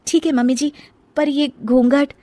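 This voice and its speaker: A woman's voice: high voice, steady tone, normal speed, mellow, no echo, pitched above the performer's own voice, close to an everyday voice. A woman voicing an anxious, meek young shape-shifting serpent daughter-in-law in a cartoon story, in a pleading, apologetic manner. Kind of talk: Hindi cartoon moral story.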